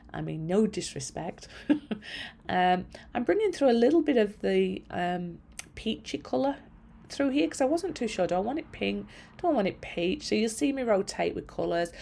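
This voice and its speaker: A middle-aged woman with a raspy, hoarse voice, chatting casually and meanderingly while she paints at home. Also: fairly low pitch, dark tone, slight background noise. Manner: chatty, meandering, self-effacing. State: hoarse from long illness. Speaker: art channel host. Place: at home by a window.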